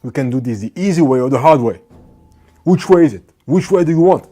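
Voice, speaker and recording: A man's voice: mid-range voice, animated, a little fast, dark tone, faint music behind, voice pushed up, natural voice. A man voicing a tense female police officer who keeps pressing the same accusation as he retells an encounter. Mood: threatening. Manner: insistent, repeating accusations.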